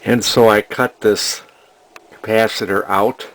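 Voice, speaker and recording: A man's voice: on the low side, fairly even intonation, takes it slowly, fairly bright tone, low-quality recording. A man narrating an electronics repair casually, thinking aloud in a hesitant, rambling way. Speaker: vintage electronics repair hobbyist.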